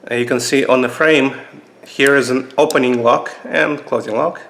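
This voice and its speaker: Russian-accented man, halting knife talk. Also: rough recording. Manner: halting, many fillers and restarts, lively pitch jumps. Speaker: channel host reviewing knives. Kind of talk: casual knife review vlog. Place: indoors at a table.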